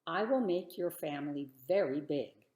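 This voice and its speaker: A woman voicing God as a loving, playful storybook character, speaking in a grand, deliberate and emphatic way. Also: low voice, dark tone, echoey room, natural voice. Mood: solemn promise.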